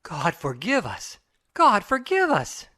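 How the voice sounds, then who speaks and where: Gentleman lawyer acted by a man for audiobook drama: sober, good-natured, formal, animated intonation. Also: high-pitched, takes it slowly, heavily acted. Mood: horrified.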